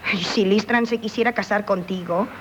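An actress playing a pert, spiteful teenage younger sister in 1970s Castilian Spanish radio theatre, mocking and exclamatory. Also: female voice, mid-range voice, moderate pace, natural voice. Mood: teasing. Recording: 1970s radio broadcast with faint noise.

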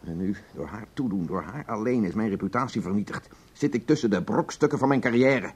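An actor playing an aggrieved, self-justifying married radio producer in a Dutch radio play, defensive and long-winded in manner. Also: male voice, lowish voice, lively intonation, a little fast, slightly rough. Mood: wounded, defensive.

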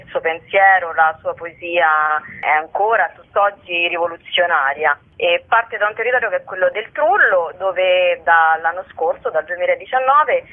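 A woman being interviewed as a project's creator, explaining earnestly in long, wordy sentences. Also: lowish voice. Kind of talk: spoken radio interview. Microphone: telephone. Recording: narrow, tinny phone line.

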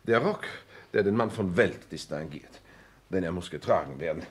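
Foppish young dandy, lively swooping delivery, pompous, teasing, French and English phrases, vintage radio drama. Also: male voice, low voice, normal speed. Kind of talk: 1960s German radio play acting.

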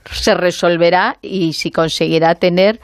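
Laughing Spanish woman, low voice. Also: fairly even intonation, measured pace, clean tone, dry recording, studio quality. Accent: Spain Spanish. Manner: chatty, laughing storyteller. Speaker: radio contributor. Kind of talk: casual chat.

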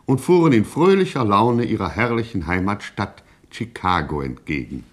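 A man's voice: on the low side, big pitch swings, moderate pace. Mock-heroic male radio narrator. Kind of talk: dramatic scripted narration of a satirical radio play.

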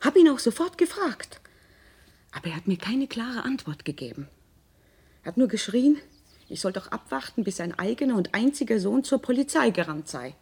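An actress playing an earnest middle-aged mother who confides in her grown son, deliberate and emphatic, in a naturalistic radio drama. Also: fairly high pitch, very animated, darkish tone, breathy edge, heavily acted. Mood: urgent.

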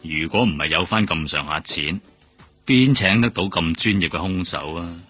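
An actor with a Hong Kong accent playing a world-weary, cynical veteran police detective, blunt and sardonic, in a radio drama. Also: male voice, low-pitched, normal speed, pushed and bright, breathy edge, no echo, exaggerated voice. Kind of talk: Cantonese radio drama acting. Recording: music bed behind the voice.

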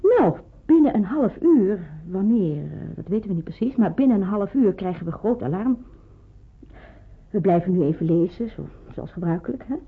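An actress playing a businesslike woman in hiding who explains things briskly, in an old radio play. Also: medium pitch, animated, breathy edge. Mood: brisk, instructive. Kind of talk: Dutch radio play acting. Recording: muffled, narrow-band old radio recording.

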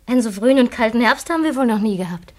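An actress in a German radio play playing an anguished, guilt-ridden newlywed widow, speaking haltingly and repeating words when agitated. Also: female voice, on the high side, lively intonation, a little mellow, close to an everyday voice. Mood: nervous, evasive.

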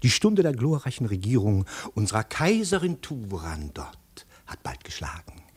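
An actor in a 1950s German radio drama playing a scheming, ambitious chief minister with a deferential, calculating manner. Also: male voice, lowish voice, big pitch swings, normal speed, a little mellow, breathy edge. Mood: conspiratorial.

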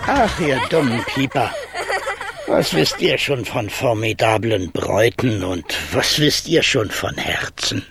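An actor playing a small, tender-hearted, dreamy mayor in a fairy-tale radio drama, speaking in a declamatory way. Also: male voice, mid-range voice, expressive, a little slow, creaky, over a noisy crowd. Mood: fond, chiding.

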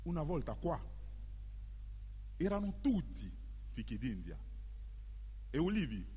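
An actor plays an ironic male stage storyteller with a high, husky voice. He declaims slowly, with emphatic pauses and huge swings of pitch. The sound rings in a long hall reverb. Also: big pitch swings, mellow, heavily acted. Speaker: stage narrator. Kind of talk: theatrical monologue for radio theatre.

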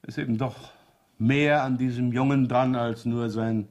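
A man acts a middle-aged police inspector in a 1970s German radio crime play. His voice sits at an ordinary male pitch. He probes with dry sarcasm and a pretence of being scatterbrained. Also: steady tone, a little slow, darkish tone, highs cut off, lightly acted. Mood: brisk, wry. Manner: feigned absent-mindedness, dry sarcasm, probing.

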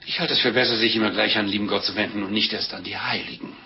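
An actor playing an anxious, pleading, pious chubby little monk in a flustered, exclaiming way in a 1950s radio play. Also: male voice, fairly low pitch, fairly even intonation, fast, close to an everyday voice. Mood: pleading, placating. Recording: muffled old 1950s radio recording, music behind.

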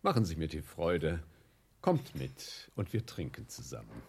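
An actor playing a hearty, gallant, hard-drinking former navy commander from Hamburg, bluff and flattering, in a theatrical radio drama. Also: male voice, very deep voice, unhurried, creaky, slightly rough, exaggerated voice. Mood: hearty, boastful. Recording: faint music behind.

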